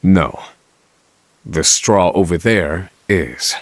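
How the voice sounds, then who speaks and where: An actor playing a grim, brilliant scholar in a fantasy video game, speaking in a measured, formal and erudite way. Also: male voice, deep voice, exaggerated voice. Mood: intent, assured. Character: grim, brilliant adult male scholar escaping prison. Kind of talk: dramatic video game voice acting.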